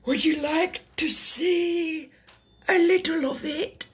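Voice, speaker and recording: A woman's voice: extremely high pitch, a bit bright, pitched above the performer's own voice, full character voice. A woman voicing a melancholy male turtle creature in a children's storybook, drawing out the words with wistful emotion. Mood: earnest. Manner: slow, drawn-out, emotional delivery. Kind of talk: children's storybook character reading.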